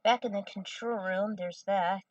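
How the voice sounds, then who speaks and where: A woman's voice: mid-range voice, fairly even intonation, a little slow, a little mellow, no echo, highs cut off. A woman narrating a fan story and commenting over game scenes in a dramatic storytelling style.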